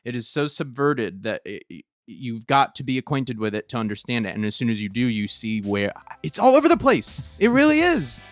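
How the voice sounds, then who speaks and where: A man's voice: medium pitch, very animated, a little fast, few pauses, no echo. A man hosting a casual podcast, enthusiastic and easily amazed, often repeating and stressing his words as he feels his way through a sentence. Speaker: podcast host.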